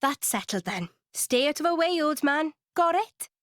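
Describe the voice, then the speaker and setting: A woman's voice: high-pitched, expressive, normal speed, a bit bright, no echo, clean studio sound. An actress voicing a brash young woman who speaks in a mocking, feisty way.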